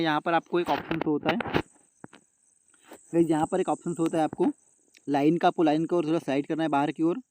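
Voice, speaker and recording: A man's voice: a little high, a little mellow, dry recording. A man with an Indian accent hosting a casual how-to tutorial, repetitive and often addressing his viewers as guys. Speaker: YouTube tech tutorial host.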